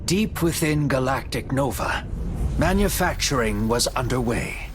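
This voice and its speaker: A man narrating a video game story cutscene in a measured, dramatic storytelling manner. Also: medium pitch, lively intonation, slight background noise. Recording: music under the voice. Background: music.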